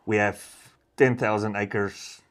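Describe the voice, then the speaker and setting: A man with a South African accent talking casually in an interview as a professional hunter, in plain, slightly halting English. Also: fairly low pitch, steady tone, fairly bright tone. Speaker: professional hunter, safari outfitter.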